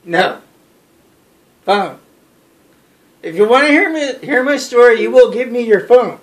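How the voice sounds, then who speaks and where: A man's voice: very high-pitched, lively intonation, unhurried. A young adult man telling a story casually to friends, exasperated, emphatic and given to repeating himself. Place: small bedroom, indoors. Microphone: phone camera across the room. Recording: roomy, noisy recording, distant phone mic.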